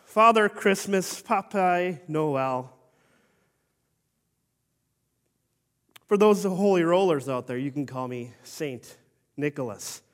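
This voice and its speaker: Old humble bishop, stern underneath, slow and formal, played by a man in a church monologue, echoing hall. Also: on the high side. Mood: solemn. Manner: slow, solemn storytelling with formal phrasing. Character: Saint Nicholas, elderly bishop, humble and stern. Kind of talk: first-person dramatic monologue.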